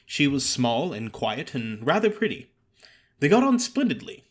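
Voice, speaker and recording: A man reading a story aloud as theatrical audiobook narration, with a wry, expressive storytelling manner. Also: mid-range voice, moderate pace. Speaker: story reader.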